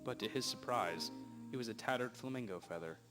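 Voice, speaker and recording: A man playing a wry narrator of a mock detective mystery in a roughly recorded amateur radio drama. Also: medium pitch, brisk, natural voice. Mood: suspenseful. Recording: rough recording, loud guitar music behind. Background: loud plucked guitar music.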